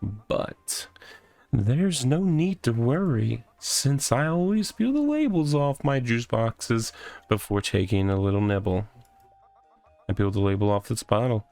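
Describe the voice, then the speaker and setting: A man's voice: mid-range voice, expressive, unhurried, vocal fry, a little mellow, no room sound, soft background music. A man voicing an odd, rambling guy who lives in a box in a comic visual novel, talking absurd nonsense matter-of-factly. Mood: rambling, breezy.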